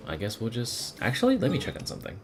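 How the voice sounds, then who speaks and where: A man's voice: fairly low pitch, huge pitch swings, quick pace. A man casually commentating on a game livestream, talking to himself as he plays. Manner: talks to himself, reads game text aloud. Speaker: game streamer. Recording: game music behind the voice. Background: game music.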